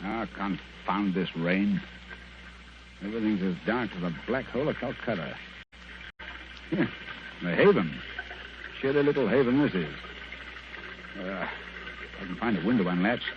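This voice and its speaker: A man playing a brisk, shrewd, commanding detective in an old radio drama, with a crisp, theatrical British delivery. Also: lowish voice, expressive. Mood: muttering, straining. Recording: muffled, hissy vintage radio recording.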